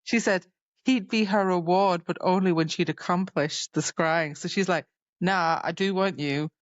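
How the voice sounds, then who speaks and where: A woman's voice: lowish voice, unhurried, dry recording, dull audio. A woman co-hosting a podcast, chatting casually and retelling a story by acting out the dialogue. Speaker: podcast co-host. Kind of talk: casual chat recapping a fantasy novel.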